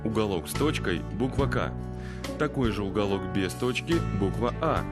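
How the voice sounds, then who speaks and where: A man's voice: lowish voice, lively intonation, moderate pace, loud music behind the voice, natural voice. A man voicing a know-it-all robot compass in a children's cartoon, explaining things patiently with a slightly teasing, instructive manner.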